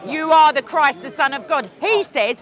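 A woman's voice: high voice, animated, normal speed, a bit bright. An English woman in her thirties, debating in a park with insistent, interrupting questions. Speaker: street debater. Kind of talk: heated street debate. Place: outdoor park among a crowd. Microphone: small clip mic on top. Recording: outdoor crowd chatter behind.

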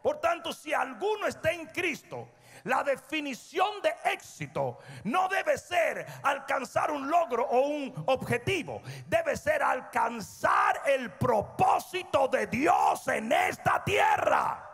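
A middle-aged male pastor preaching a sermon on a large church stage, swinging from hushed asides to shouted peaks and calling on the crowd to answer. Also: extremely high pitch, sing-song, takes it slowly, creaky. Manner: prompts the crowd for responses. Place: large church stage before a seated audience. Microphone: handheld microphone. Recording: long hall reverb with faint music.

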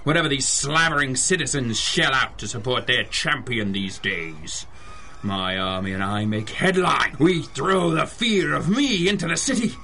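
An actor playing a mad, gleeful, theatrical supervillain in a radio drama, grandiose and given to gloating and cackling. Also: male voice, medium pitch, normal speed, sharp tone, a little hiss or hum. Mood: bargaining. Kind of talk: 1930s-style radio superhero drama acting.

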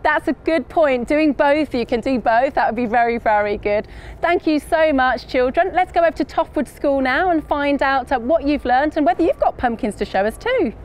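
A woman's voice: sits high, lively intonation, normal speed, a little hiss or hum. A woman in her thirties presenting a live online farm field trip for schoolchildren in an upbeat, encouraging way, recorded on a lapel mic in a polytunnel. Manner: upbeat, encouraging, addresses children.

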